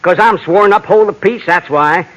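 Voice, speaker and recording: A man acting an irritable, blustering small-town sheriff with a gruff, blunt rural western American way of talking in an old radio western. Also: sits high, moderate pace, a bit bright, lo-fi sound. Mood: stern, exasperated. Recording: hissy old radio recording.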